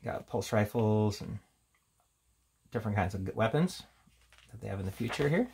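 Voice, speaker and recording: A man speaks in a fairly low voice with a gravelly fry. He gives an offhand, unscripted review commentary, drifting between reading short headings aloud and casual remarks. Faint noise sits behind the voice. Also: expressive, moderate pace, a little mellow. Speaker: tabletop game reviewer.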